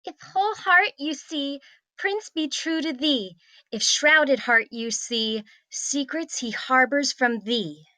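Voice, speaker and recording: A woman's voice: high voice, slow pace, bright, forceful tone, no room sound, exaggerated voice, low-quality recording. A woman playing an eager, dreamy six-year-old princess in a screenplay table read, with a girlish, singsong delivery. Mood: reciting.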